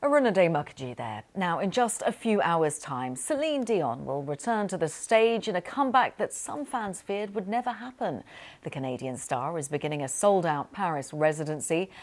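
A female news presenter introducing stories and handing over to a correspondent, clear and scripted. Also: lowish voice, very animated, normal speed.

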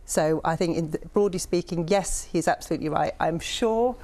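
A woman's voice: fairly low pitch, normal speed, a little mellow. A woman from North East England, a political commentator on a debate panel, building long, earnest, persuasive arguments.

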